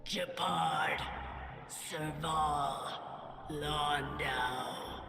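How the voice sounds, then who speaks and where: Shadow monster, cold and menacing, gravelly distorted whisper, drawn-out words, heavy echo. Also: female voice, low voice, fairly even intonation, exaggerated voice. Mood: ominous. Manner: slow, ominous utterances. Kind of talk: video game voice acting. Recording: reverb-drenched game audio over loud music.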